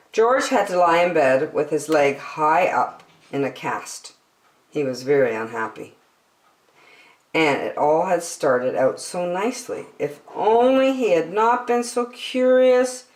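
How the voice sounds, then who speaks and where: A woman reads a picture book aloud with dramatic emphasis. Her voice sits a little low but swings widely in pitch. The room is noticeably echoey. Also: big pitch swings. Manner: dramatic, with emphatic slowed phrases. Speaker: children's story reader.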